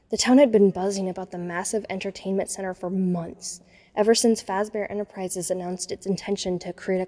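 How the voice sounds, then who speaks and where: A woman's voice: medium pitch, dark tone, lightly acted. A woman playing a self-conscious, wryly observant nerdy teenage girl who narrates a first-person audio drama. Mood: uneasy. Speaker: narrator and lead character. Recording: long reverb on the voice.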